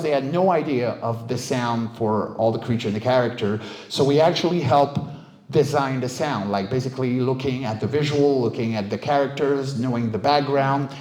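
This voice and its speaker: A middle-aged man giving an informal talk about his work as a voice performer, telling anecdotes in an animated, casual way. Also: on the high side. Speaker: voice actor, director and coach giving a talk. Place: indoor event room, live talk. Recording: roomy, echoey live talk recording.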